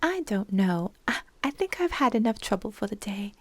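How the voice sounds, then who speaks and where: A woman's voice: on the high side, expressive, measured pace, a little mellow, dry recording, voice pushed up. A woman voicing a nervous, fretful woman baker who stammers and worries.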